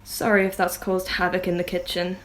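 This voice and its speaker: A woman's voice: fairly low pitch, monotone, a little fast, lightly acted, poor audio. A woman playing a genteel, arch lady of the manor who is suspicious of her house guest.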